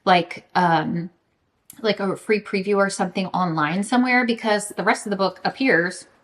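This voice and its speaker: A woman chatting casually and emphatically to viewers as a book vlogger, with animated, informal delivery and a self-deprecating sense of humour. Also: medium pitch, moderate pace. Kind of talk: casual chatty vlog to camera.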